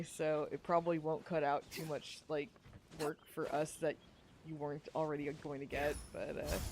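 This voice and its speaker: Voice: mid-range voice, darkish tone, slight background noise. A podcast host chatting casually on a livestream in an informal, jokey way. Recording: music behind the voice. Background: music.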